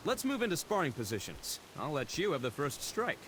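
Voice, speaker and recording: A man's voice: a little high, huge pitch swings, brisk, noisy background. A man voicing an eager young male soldier in a video game, earnest and encouraging. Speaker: game character. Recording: game audio over loud music. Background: game music.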